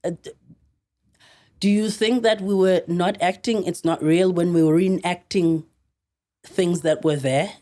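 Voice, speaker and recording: A South African woman in middle age, guest on a podcast, speaks in a lowish, mellow voice. She is earnest and halting, often breaking off and restarting as she tells her story. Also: fairly even intonation. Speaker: actress guest.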